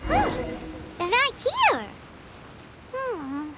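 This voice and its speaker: A woman voicing a tiny, excitable floating fairy girl companion in a video game, squeaky and childlike, perky and full of exclamations. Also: extremely slow, very noisy, exaggerated voice. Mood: curious, urging.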